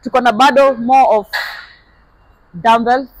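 A woman's voice: fairly high pitch, fairly even intonation, a little slow, darkish tone, dry recording, poor audio. A young woman with a Kenyan accent, a gym staff member, showing visitors around and pointing out equipment in a friendly, matter-of-fact way. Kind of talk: guided tour in mixed Swahili and English. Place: large indoor gym. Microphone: lapel mic.

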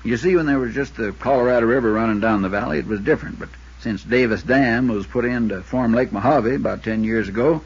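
A man playing a folksy, talkative Arizona insurance man with a drawl and a husky voice, in 1950s radio drama style. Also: medium pitch, a little fast, few pauses. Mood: insistent, explanatory. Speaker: supporting actor. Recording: dull 1950s radio recording.

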